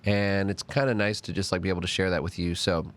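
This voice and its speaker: A man hosts a laid-back podcast. His voice sits fairly low and comes out nasal and stuffed up from a cold. He talks eagerly, his pitch leaping up whenever he stresses a point. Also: quick pace. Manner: animated and talkative, pitch jumping up on emphasis. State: congested from a cold. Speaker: podcast host. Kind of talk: casual chat.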